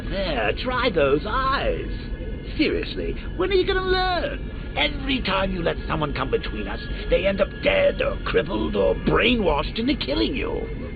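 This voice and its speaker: A mocking cackling villain clown, slow-drawn taunts, gravelly edge, tinny game audio. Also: male voice, high voice, full character voice. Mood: taunting. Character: grinning male villain clown, menacing and gleeful. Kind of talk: game voice lines.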